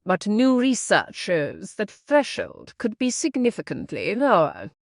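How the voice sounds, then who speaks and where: A woman's voice: mid-range voice, huge pitch swings, unhurried, creaky, dry recording, studio quality. A woman reading scripted practice passages aloud as a test-prep narrator.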